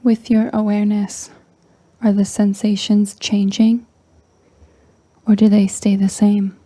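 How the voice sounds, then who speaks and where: A woman leading a guided meditation in a soft, breathy, near-whispering voice, calm and gentle with long pauses. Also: mid-range voice, dry recording. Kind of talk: slow guided meditation narration.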